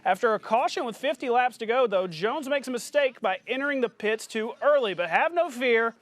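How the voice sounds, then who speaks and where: A male sports anchor with a notably high voice. He reads scores and narrates highlights in a punchy, announcer-like way, as on a local television sportscast. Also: animated, brisk, fairly bright tone, no echo.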